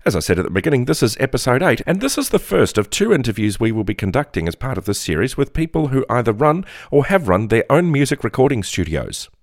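Aussie podcast host guy, measured and even, asking questions. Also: medium pitch. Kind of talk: podcast interview.

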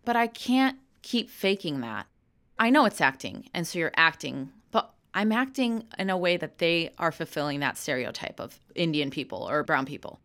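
A woman's voice: sits low, big pitch swings, moderate pace, a bit bright. A woman in her thirties, an actor and comedian, answering interview questions frankly and laughing easily. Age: 30s.

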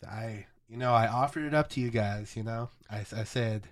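A man's voice: mid-range voice, moderate pace. A man co-hosting a podcast while sick, his voice a little off, rambling in casual chat. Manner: rambling, repeats words as he talks. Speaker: podcast co-host.

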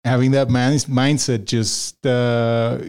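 A man's voice: mid-range voice, flat delivery, takes it slowly, darkish tone, smooth. A man from Venezuela, a guest on an interview show, chatting casually and rambling with lots of fillers and restarts. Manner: rambling, many fillers and restarts, laughs often. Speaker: podcast guest, freelance video producer.

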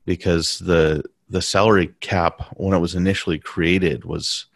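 Man, very deep voice, hesitant casual talk, dry recording. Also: level tone, creaky, no echo. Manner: halting, many restarts and fillers. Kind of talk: casual conversation.

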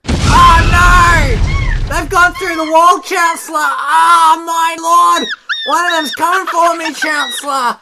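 A man's voice: extremely high pitch, sing-song, slow, gravelly, raised voice, noisy background, heavily acted. A man playing a frantic palace guard messenger in an audio drama, pleading and panicky. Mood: panicked.

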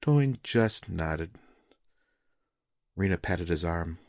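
A man narrating literary fiction as an audiobook reader, in a measured, solemn and dramatic manner. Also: low voice, animated, a little mellow, no echo, clean studio sound.

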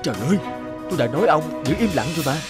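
A man's voice: a little high, animated, rapid-fire, music in the background, natural voice. A man voicing a chivalrous thief in a folk tale cartoon, earnest, plain-spoken and decisive. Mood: alarmed. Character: chivalrous male thief, principled, bold and protective.

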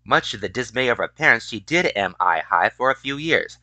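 Bright-voiced man reading an article. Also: medium pitch, expressive, a little fast, no echo. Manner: stumbles and restarts on words. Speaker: reader of a news article. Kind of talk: reading a feature article aloud.

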